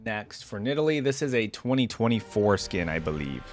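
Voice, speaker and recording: A man's voice: lowish voice, sing-song, moderate pace. A man hosting a casual reaction video, thinking aloud in a rambling way. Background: game music.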